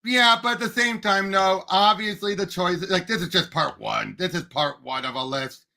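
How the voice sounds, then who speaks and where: A man's voice: high-pitched, moderate pace, gravelly, sharp tone. A man co-hosting a podcast, debating in a casual fan chat with an emphatic, insistent way of talking. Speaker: podcast co-host.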